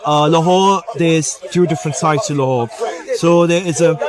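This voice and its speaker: A man's voice: a little high, moderate pace, a crowd in the background. A young man, chatting as an interview guest with lots of fillers and self-deprecating asides. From Pakistan. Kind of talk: casual interview.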